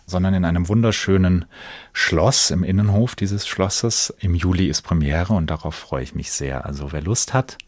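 A man's voice: low-pitched, a little mellow, no room sound. A man answering as an actor in a conversational radio interview, earnest and reflective, in broken-up phrases. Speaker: stage actor, interview guest.

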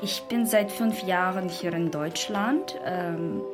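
A woman's voice: lowish voice, slow, over music. A woman in her twenties from Uzbekistan, a non-native speaker, retells a story off the cuff, searching for words as she goes. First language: not German. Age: 20s. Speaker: interviewee retelling a film. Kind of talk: unscripted spoken retelling.

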